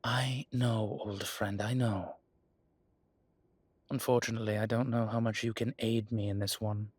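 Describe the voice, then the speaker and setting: A man playing a proud, brooding vampire burdened as a father, with a husky voice and a weary, deliberate manner, in an audio roleplay. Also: on the low side, little pitch movement, darkish tone, no echo. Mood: burdened, somber. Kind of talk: dramatic audio roleplay acting.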